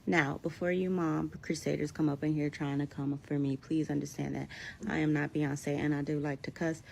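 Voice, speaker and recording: A woman's voice: low voice, little pitch movement, moderate pace, gravelly, a little mellow. A young woman giving a blunt, emphatic, colloquial monologue for social media, in a noisy played-back clip. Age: young adult.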